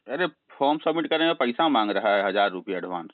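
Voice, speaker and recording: A man's voice: fairly low pitch, lively intonation, fast, dry recording. A man answering a phone call with short, terse replies. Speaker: call recipient. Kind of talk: phone conversation and muttered aside. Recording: dry tinny phone-line recording.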